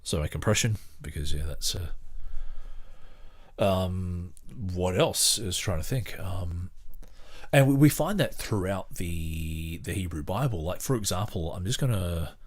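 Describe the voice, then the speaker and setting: A man hosting a podcast, giving casual, rambling commentary on a book in a halting, sardonic manner. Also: low-pitched, lively intonation. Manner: halting, sardonic, mock-surprised at times. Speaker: podcast host.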